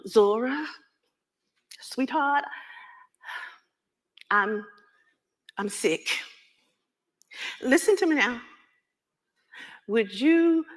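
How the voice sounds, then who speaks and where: A woman's voice: medium pitch, heavily acted. A woman voicing a loving, protective mother who is frail and dying, speaking tenderly and pleadingly to her child.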